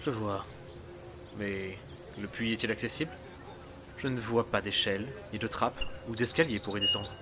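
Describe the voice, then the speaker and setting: An actor playing a seasoned, shrewd dimensional traveler in an audio drama, articulate and condescending, easily exasperated. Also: male voice, on the low side, fairly even intonation, normal speed, pauses often, noisy background, lightly acted. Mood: wary, intrigued. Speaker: lead character of an audio drama. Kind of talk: French audio drama acting. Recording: music under the voice.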